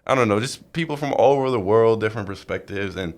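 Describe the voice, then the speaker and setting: A young American man in his twenties chatting casually, in a halting, rambling way that often doubles back to correct itself. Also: lowish voice. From rural Iowa, United States. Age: 20s. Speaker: podcast co-host. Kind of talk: casual chat.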